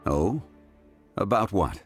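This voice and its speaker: Very deep, solemn ancient god. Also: male voice, lively intonation, over music. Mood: calm, polite. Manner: measured, formal, solemn. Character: ancient retired god, calm solemn dignified man. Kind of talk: scripted video game character dialogue.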